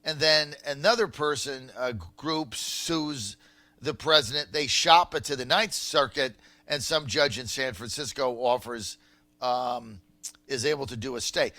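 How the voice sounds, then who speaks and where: An American man hosting political talk radio, with an incredulous, emphatic and halting way of talking. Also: mid-range voice, sharp tone, no room sound. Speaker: radio talk show host.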